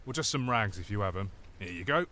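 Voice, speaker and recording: An actor playing a jokey, menacing island mechanic in an audio drama, cheerful and sinister. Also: male voice, fairly low pitch, animated, quick pace, pushed and bright, slight background noise.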